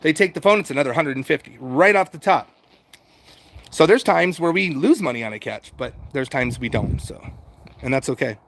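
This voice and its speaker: American guy, middle aged, voice a bit on the high side, rambling casual livestream chat, repeats himself, swears a bit. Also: expressive, moderate pace, a bit bright, a little hiss or hum. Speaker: livestream host answering viewer chat. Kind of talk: casual unscripted chat, reading out and answering comments.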